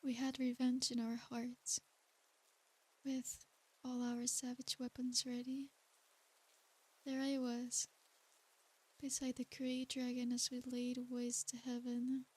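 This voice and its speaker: A woman playing an ancient, tender and seductive succubus demon in a soft ASMR bedtime roleplay. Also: fairly high pitch, completely flat delivery. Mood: solemn, wistful. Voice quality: soft, breathy. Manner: slow, intimate, soothing bedtime delivery.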